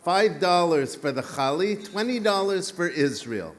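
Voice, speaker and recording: A man's voice: sits high, expressive, unhurried. A man voicing a matter-of-fact male bakery clerk in a joke. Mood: deadpan.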